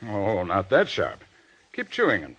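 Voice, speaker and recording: An actor playing a genial, eager company boss in a 1940s-style radio drama, jovial and fawning, at times flustered and hesitant. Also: male voice, fairly low pitch, huge pitch swings, unhurried, fairly bright tone, husky, exaggerated voice. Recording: muffled old radio drama recording.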